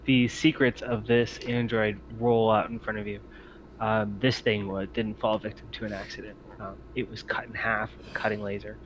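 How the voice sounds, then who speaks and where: Male game master, lowish voice, halting narration of a roleplaying scene, faint noise on the recording. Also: little pitch movement, rough recording. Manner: halting, pausing often mid-sentence.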